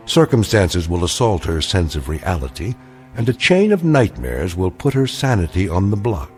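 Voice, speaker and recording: A grave male narrator of an uncanny anthology tale. His voice sits low and moves expressively through measured, ominous lines, with soft music underneath. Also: sing-song. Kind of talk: dramatic scripted narration.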